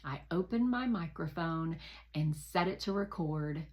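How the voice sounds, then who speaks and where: A woman narrating a children's novel aloud in an expressive read-aloud style, with deliberate emphasis for young listeners. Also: low-pitched.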